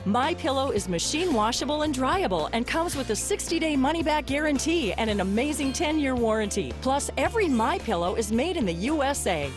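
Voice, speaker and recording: Woman, ad announcer, high pitch, animated, music underneath. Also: brisk, few pauses. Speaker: advertisement announcer. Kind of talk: scripted commercial voice-over.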